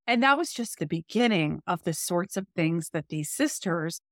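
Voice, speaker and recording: A woman author being interviewed, explaining in a conversational, expressive, emphatic storytelling way. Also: fairly low pitch, moderate pace, no echo, clean studio sound.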